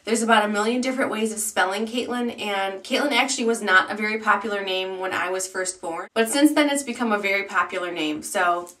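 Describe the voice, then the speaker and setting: Young woman in her twenties, casual vlogger, bright clear tone, chatty and rambling, slightly distant camera mic. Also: medium pitch, fast talker, few pauses. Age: 20s. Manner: chatty, rambling with many ums and likes. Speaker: YouTube beauty vlogger. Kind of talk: casual vlog chat.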